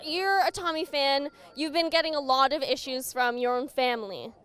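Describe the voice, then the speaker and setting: A woman reporter asking direct, probing questions and making a pitch to camera. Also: normal speed, a bit bright. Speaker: independent reporter.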